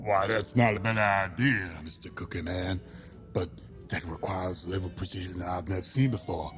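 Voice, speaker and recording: A low-pitched crab detective, acted by a man, pompous and sentimental. He tells his log with grand melodrama for a comic audio drama, over music. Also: steady tone, normal speed, close to an everyday voice. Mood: impressed. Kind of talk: comedic audio drama narration and dialogue. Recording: music behind the voice.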